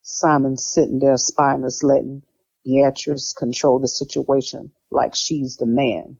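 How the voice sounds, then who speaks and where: A woman's voice: extremely low pitch, level tone, unhurried, vocal fry, dark tone, breathy edge, exaggerated voice. A woman playing a sassy, judgmental young sister in an audio drama. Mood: gossipy, scornful. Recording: slightly dull audio, music underneath.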